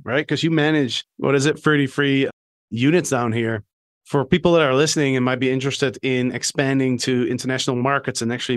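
A man's voice: medium pitch, no echo. Casual chatty man hosting, dry sound. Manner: casual, many fillers like "like" and "right". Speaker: podcast host. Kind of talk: podcast interview.

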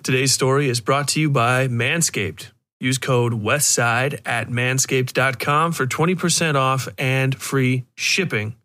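A man's voice: medium pitch, fairly even intonation, a little slow, few pauses, sharp tone. A man hosting a fiction podcast, reading scripted narration. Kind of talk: scripted podcast recap and sponsor read.

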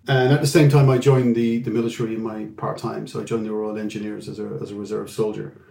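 Middle-aged irish guy, dark mellow voice, stops and restarts a lot, roomy echo. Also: on the low side, vocal fry. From England. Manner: halting, with many restarts and fillers. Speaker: guest executive. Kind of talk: reflective career interview.

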